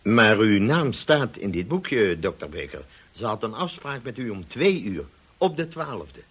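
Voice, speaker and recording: Stern, husky police colonel. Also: male voice, lowish voice, big pitch swings, full character voice. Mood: businesslike. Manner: formal, measured questioning. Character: formal, authoritative police colonel, stern and probing. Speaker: actor in a radio play. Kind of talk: Dutch radio drama acting.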